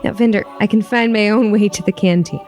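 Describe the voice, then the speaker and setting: An actress playing a condescending, smooth-talking corporate regional coordinator in an audio dramedy, brisk and bureaucratic in manner. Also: female voice, mid-range voice, fairly even intonation, a little mellow, close to an everyday voice. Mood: dismissive. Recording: music behind the voice.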